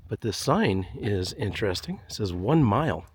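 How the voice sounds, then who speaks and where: A man's voice: on the low side, big pitch swings, moderate pace, slight background noise. A man vlogging as he hikes a desert trail, talking to himself in a chatty, joking way and sighing now and then from the climb. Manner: chatty, self-deprecating, talks to himself. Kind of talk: casual narration while hiking. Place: outdoors on a desert canyon trail.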